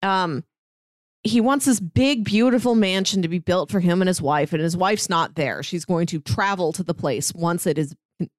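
A woman co-hosting a podcast, chatting casually and retelling a story in an expressive, conversational way. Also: lowish voice, moderate pace, no room sound, clean studio sound. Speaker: podcast co-host.

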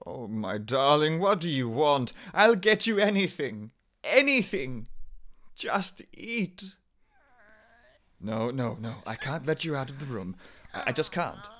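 An actor playing a desperate, devoted husband in a theatrical, pleading 1930s radio horror style. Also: male voice, medium pitch, big pitch swings, takes it slowly, fairly bright tone. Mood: tearful pleading. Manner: theatrical, pleading, swinging from murmurs to outcries. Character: desperate, distraught husband devoted to his transformed wife. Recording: noisy vintage 1930s radio recording.

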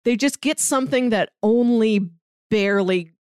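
A woman's voice: a little high, moderate pace, a little mellow, clean tone, no room sound. Woman chatting eagerly on a dry mic. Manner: eager, agreeing often, filler "like". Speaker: podcast co-host. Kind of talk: casual TV recap chat.

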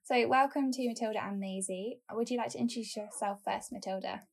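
A woman's voice: fairly high pitch, fairly quick, creaky. A young woman hosting a university podcast, chatting in a friendly, casual way. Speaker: host, student recruitment officer.

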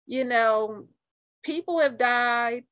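A woman's voice: fairly high pitch, takes it slowly, fairly bright tone. A middle-aged American woman talking casually as an interview guest, in an outspoken, emphatic and colloquial way. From New Haven, Connecticut, US.

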